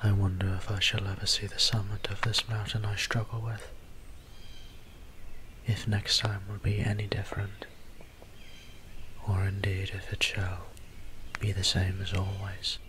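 Slow, hushed, melancholy vampire priest played by a man. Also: fairly low pitch, little pitch movement, a little hiss or hum. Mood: brooding, self-reproaching. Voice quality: soft, breathy, near-whispered. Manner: formal, archaic, musing diction. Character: soft-spoken, melancholy male vampire priest, wistful and self-reproaching. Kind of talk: ASMR roleplay monologue.

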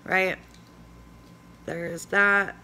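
A hoarse woman in her forties chatting casually in a home vlog, reading product labels aloud and rambling with fillers. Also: fairly low pitch, little pitch movement, extremely slow, sharp tone, a little hiss or hum. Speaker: vlogger. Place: small indoor room at home. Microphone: phone camera at arm's length.

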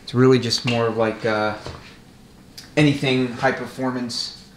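A man's voice: mid-range voice, steady tone, unhurried. A man explaining a mechanical job step by step in a casual, practical way while he works. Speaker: transmission rebuilder giving a tutorial. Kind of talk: casual hands-on instructional commentary. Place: indoor repair workshop. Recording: echoey workshop, noticeable noise, tool clicks.

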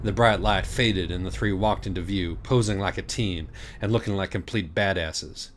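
Guy narrating an audiobook, lowish voice, theatrical n kinda sardonic. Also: moderate pace, slight background noise. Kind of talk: dramatic scripted narration of a parody story.

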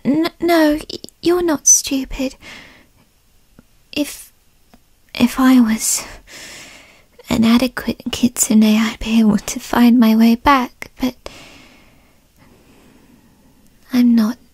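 A woman acts out a bratty female fox spirit in an ASMR roleplay, sulky and insecure. The voice is a breathy, husky whisper on the higher side, very close and dry. Words come slowly and huffily, often drawn out. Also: steady tone, darkish tone, dry recording, exaggerated voice. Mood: apologetic, insecure. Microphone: close microphone in a dry space.

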